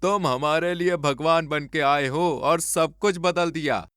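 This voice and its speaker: A man's voice: a little high, a little slow, a bit bright, smooth, no room sound, studio quality. A man voicing a dignified village chief, solemn and appreciative, in a moral story cartoon. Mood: grateful, solemn. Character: grateful, dignified village chief praising warmly.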